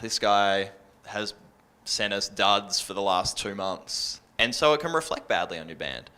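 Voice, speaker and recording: A man's voice: mid-range voice, sharp tone, clear, resonant tone. An Australian man speaking casually and bluntly as a radio industry panelist, in colloquial language.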